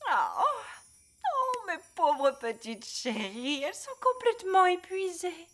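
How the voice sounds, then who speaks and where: A woman's voice: very high-pitched, sing-song, slow, fairly bright tone, faint music behind, heavily acted. A woman playing a doting, mischievous fairy grandmother in a children's cartoon, with an indulgent, theatrical delivery. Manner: sing-song, indulgent, theatrical. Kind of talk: animated children's cartoon acting.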